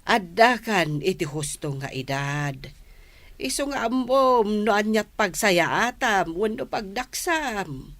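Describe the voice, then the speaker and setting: A woman's voice: mid-range voice, lively intonation, takes it slowly, a bit bright. A woman with an Ilocano Filipino accent playing a grave, patient older mother giving counsel in a radio drama. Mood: solemn advising.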